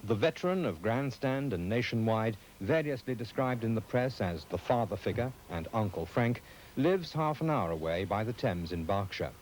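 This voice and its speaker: A man's voice: lowish voice, expressive, moderate pace, darkish tone, slight background noise, low-quality recording. A British man reading scripted narration as a television reporter.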